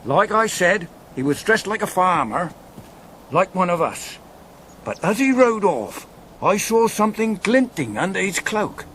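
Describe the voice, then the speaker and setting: A man's voice: high-pitched, sing-song, a little slow, fairly bright tone, slight background noise, heavily acted. An actor playing an earnest, wary village watchman in a radio drama, plain-spoken and deferential. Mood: uneasy recollection.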